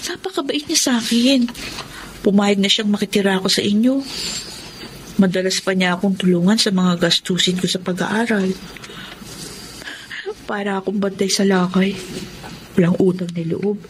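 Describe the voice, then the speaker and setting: A woman's voice: mid-range voice, fairly even intonation, moderate pace, pauses often, a little hiss or hum, natural voice, rough recording. An actress playing a guilt-ridden young female student with a secret affair in a Tagalog radio drama. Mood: guilty. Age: young adult.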